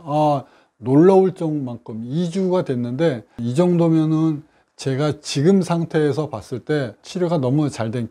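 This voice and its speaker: A middle-aged man, a clinic doctor, calmly explaining a patient's treatment case in his office. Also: on the high side. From South Korea. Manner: measured, explanatory, with frequent fillers.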